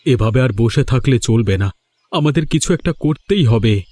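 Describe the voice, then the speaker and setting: Mellow-voiced, fed-up village fisherman played by a man. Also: mid-range voice, animated, normal speed, natural voice. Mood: troubled, fed up. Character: put-upon village fisherman, fed up, determined. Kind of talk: animated folk-tale dialogue.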